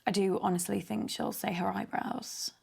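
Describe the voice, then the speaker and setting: A woman's voice: mid-range voice, steady tone, moderate pace, gravelly, a little mellow, husky, exaggerated voice. An actress playing a patient, earnest young woman with an understated, hesitant manner in an interactive film.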